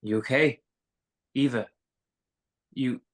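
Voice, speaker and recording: Guy acting injured dude in a drama read, husky forceful voice, slow and urgent, really animated. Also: mid-range voice. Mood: concerned, urgent. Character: injured, breathless man urging his companion onward. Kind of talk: live screenplay table read.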